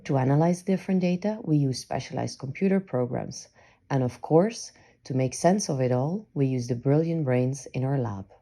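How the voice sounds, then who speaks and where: Female narrator for scripted explainer, low-pitched and noticeably husky. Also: normal speed, dark tone.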